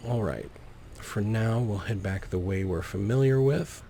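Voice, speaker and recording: A male gaming host with a low, relaxed voice and plenty of vocal fry. He comments on his play in short, clipped phrases over faint game ambience. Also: a little slow, slight background noise. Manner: narrates own actions in short clipped phrases. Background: video game ambience.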